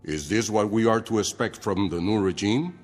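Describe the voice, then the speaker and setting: An actor playing a grave, reproachful priest in a 1960s television drama, with a solemn and pointed theatrical delivery. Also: male voice, fairly low pitch, normal speed, darkish tone, lightly acted. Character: grave, reproachful male priest confronting power. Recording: old TV soundtrack, echoing, music underneath.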